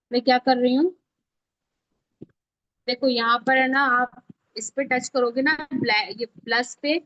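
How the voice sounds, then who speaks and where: Woman doing a casual how-to lesson, highish voice, checks you're following, dry room sound. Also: fairly bright tone, no room sound. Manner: checks often that listeners follow. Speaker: instructor. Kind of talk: informal step-by-step screen tutorial. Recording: dull, lo-fi, dry close audio.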